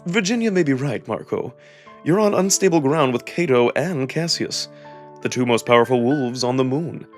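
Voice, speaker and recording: A man voicing a measured, diplomatic jackal nobleman from a visual novel, wary and deliberate in his formal phrasing. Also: mid-range voice, animated, faint music behind, natural voice. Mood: cautioning. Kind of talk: visual novel character reading.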